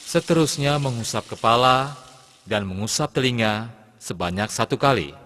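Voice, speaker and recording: A man, an Islamic religious teacher, giving measured step-by-step instruction in a scripted lesson narration for children. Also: mid-range voice, a bit bright. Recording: long hall reverb, clear background noise.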